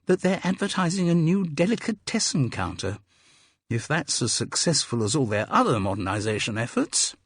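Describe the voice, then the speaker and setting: A man's voice: medium pitch, big pitch swings, normal speed, husky, no room sound, clean studio sound, pitched above the performer's own voice. A man voicing a prim, fussy older British woman who complains in a clipped way, in an audiobook. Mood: brisk, dismissive. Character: prim, sensible older woman, grumbling and reserved.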